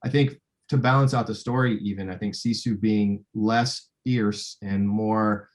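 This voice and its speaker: A man speaking as a film director in a casual interview, thinking aloud in a halting way. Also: lowish voice, steady tone.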